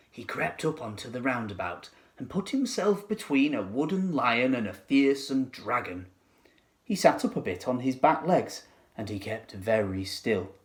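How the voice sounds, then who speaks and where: A man narrating a children's storybook expressively, with dramatic, deliberate phrasing. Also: medium pitch. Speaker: teacher reading to pupils.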